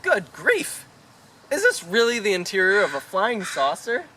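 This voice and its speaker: A woman's voice: mid-range voice, very animated, a little slow, sharp tone, a little hiss or hum. A woman playing a bewildered, dryly sarcastic stranded Earthling in a radio play, full of disbelief. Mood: incredulous. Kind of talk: amateur radio play acting.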